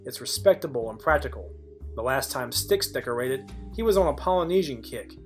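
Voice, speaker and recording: An actor playing a practical private detective, narrating a noir audio play in a deadpan, wry way. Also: male voice, medium pitch, fairly quick, faint music behind, lightly acted. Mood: wry, dry. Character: dry, practical hardboiled male private detective. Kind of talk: scripted noir first-person narration.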